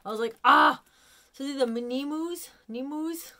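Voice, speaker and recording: Woman in her forties, American accent, fairly high voice, gushing manner, casual haul vlog, recorded at home. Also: moderate pace. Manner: excitable, gushing. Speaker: vlogger showing a haul of collectibles. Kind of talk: casual chat to camera. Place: small room at home, indoors.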